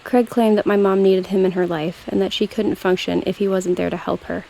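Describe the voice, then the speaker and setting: A woman reading a true scary story aloud in a steady, low-key manner. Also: brisk, gravelly, low-quality recording. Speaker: narrator.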